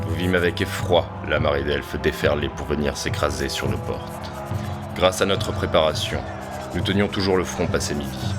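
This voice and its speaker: Male actor as fantasy army general, extremely low voice, grave siege account over loud music. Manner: grave, literary. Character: male war general recounting a grim siege. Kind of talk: solemn scripted audio drama narration.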